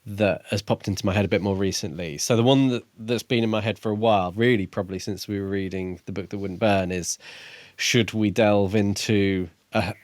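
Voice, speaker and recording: A man co-hosting a podcast, chatting casually about books in a tentative, softly qualified way. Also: fairly low pitch, fairly even intonation, brisk, dry recording. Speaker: podcast co-host. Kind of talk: casual book-club podcast chat.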